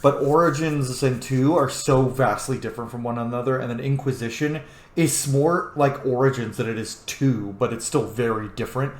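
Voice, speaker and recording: A man's voice: mid-range voice, moderate pace. A man giving casual, rambling, opinionated gameplay commentary with some swearing. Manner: opinionated, profane. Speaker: gameplay host. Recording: echoey room, faint noise.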